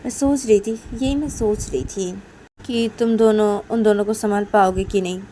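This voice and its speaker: A woman's voice: mid-range voice, fairly even intonation, normal speed, mellow, a little hiss or hum, lightly acted. A woman voicing an exasperated mother in a story, nagging insistently and repeating herself. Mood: disappointed, reproachful. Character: nagging, exasperated, scolding mother of young women.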